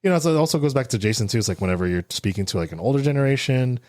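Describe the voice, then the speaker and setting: A man's voice: medium pitch, expressive, racing, a little mellow, no room sound, studio quality. A man hosting a podcast in casual chat, thinking aloud in a reflective way and often checking in with "right?". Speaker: podcast host.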